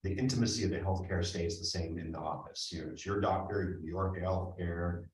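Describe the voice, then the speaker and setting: Very low-voiced man in echoey boardroom. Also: fairly even intonation, a little fast. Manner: earnest, reassuring, many restarts. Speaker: health care district administrator. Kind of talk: board meeting discussion. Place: meeting room. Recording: echoey room from far off.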